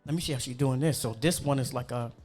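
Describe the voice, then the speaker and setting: Animated man talking food and cooking. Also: medium pitch, over music. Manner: animated, talks with slang and quick asides. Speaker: cook. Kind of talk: casual chat.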